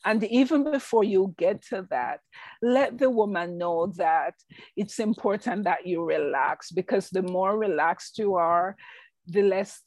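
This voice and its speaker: A middle-aged woman with a strong Jamaican accent, a clinician answering interview questions in a calm, measured, reassuring way. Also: medium pitch, no echo. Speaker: clinician and researcher.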